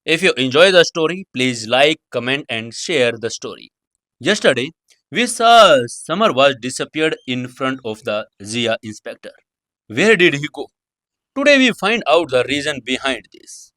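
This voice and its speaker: A man's voice: mid-range voice, sing-song, a little slow, bright, forceful tone, no echo. A man narrating a dramatic serial novel as its host, in non-native English with occasional slips and hesitations. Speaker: audio story narrator and channel host.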